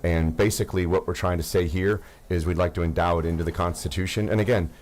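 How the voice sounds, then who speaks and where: A man presenting a resolution to a legislative committee, speaking haltingly with many restarts and repeated phrases. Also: low voice, fairly even intonation, a little mellow, a little hiss or hum. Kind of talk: committee hearing testimony.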